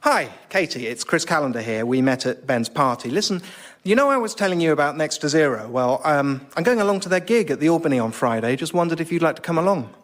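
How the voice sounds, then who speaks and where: A man's voice: fairly high pitch, expressive, fast talker. An actor playing a flustered, self-berating British man who stammers hesitantly through awkward voicemails in a radio comedy sketch. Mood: awkward, rambling. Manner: hesitant, stammering, bursts into exasperated shouting at himself.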